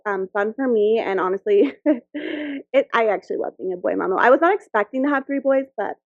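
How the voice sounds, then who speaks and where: A woman's voice: fairly high pitch, lively intonation, normal speed, no echo, dull audio. A woman in her thirties, co-hosting a casual podcast, chatty and giggly, full of "like". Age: 30s. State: new mother of a ten-week-old. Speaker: podcast co-host. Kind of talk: casual chat.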